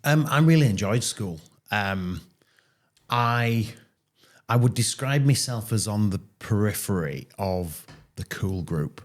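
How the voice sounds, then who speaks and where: A man from East Leeds with a Yorkshire accent, a podcast guest telling childhood stories in a friendly, relaxed way. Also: lowish voice, slow pace. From East Leeds, England. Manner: friendly, relaxed storytelling with fillers. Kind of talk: casual interview storytelling.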